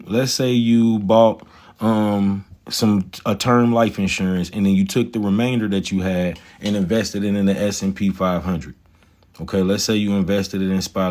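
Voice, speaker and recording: Swaggering low-voiced man talking stocks. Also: darkish tone. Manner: swaggering, profane, slangy, talks to chat. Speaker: stock market livestreamer. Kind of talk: casual livestream chat about stocks.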